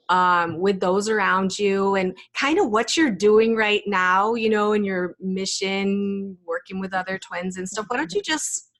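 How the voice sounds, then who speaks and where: A woman with a medium-pitched voice chats informally as an interviewer. Her talk is appreciative and loosely phrased, in an easy conversational style. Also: a bit bright. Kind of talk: casual chat.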